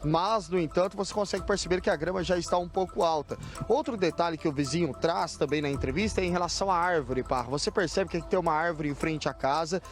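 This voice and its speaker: A man's voice: fairly high pitch, fast, few pauses, soft background music. A Brazilian man reporting live for TV news from a city street, describing the scene as he points things out. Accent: Brazilian Portuguese. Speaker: field reporter.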